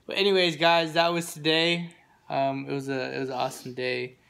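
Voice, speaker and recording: A man's voice: on the high side, flat delivery, fairly quick, gravelly, fairly bright tone, clear, resonant tone. A young man with a cold, coughing now and then, chatting casually and joking on a handheld phone vlog at home and in a car. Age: young adult. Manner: joking, laid-back, laughs often. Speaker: vlogger. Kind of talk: casual couple vlog chat. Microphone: handheld phone camera.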